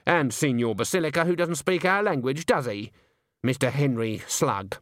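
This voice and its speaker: A man's voice: medium pitch, expressive, normal speed, dry recording, clean studio sound, voice pushed up, lightly acted. Man putting on a sly, commanding old witch woman. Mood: dry, knowing.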